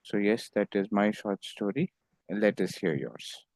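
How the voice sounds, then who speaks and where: A man from Andhra Pradesh with an Indian English accent, an English tutor speaking in a measured, formal and encouraging way on a video call. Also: lowish voice, animated, darkish tone, no echo. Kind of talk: online tutoring session.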